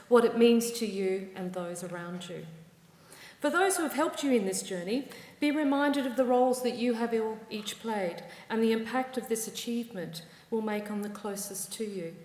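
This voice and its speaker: Australian woman giving a graduation speech, mid pitch, lots of hall echo. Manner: warm, measured speech with wry asides. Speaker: university ceremony presider. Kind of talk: formal ceremony address. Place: large ceremony hall. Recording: echoey hall reverb.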